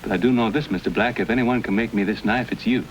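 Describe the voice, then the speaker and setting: A man's voice: fairly low pitch, fast, lightly acted. An actor playing a bold, courteous young frontiersman with folksy phrasing in an old-time radio Western. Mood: earnest, persuasive. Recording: noisy old radio drama recording.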